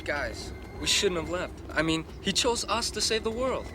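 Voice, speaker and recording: A man's voice: sits high, animated, normal speed, pushed and bright, a little hiss or hum. An actor playing an earnest teenage boy hero in a television drama, heard in an outdoor show clip. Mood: earnest pleading.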